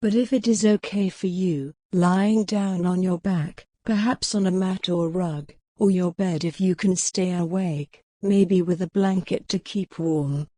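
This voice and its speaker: Woman, meditation guide, very unhurried pace, soft mellow tone, soothing delivery, long pauses. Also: on the low side, steady tone, no echo. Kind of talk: guided mindfulness meditation narration.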